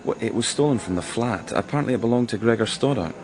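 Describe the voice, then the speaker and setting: A man's voice: mid-range voice, expressive, fast, lightly acted. An actor playing a tactful but persistent male police detective in a radio crime drama, with an informal, coaxing way of questioning. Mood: probing, coaxing.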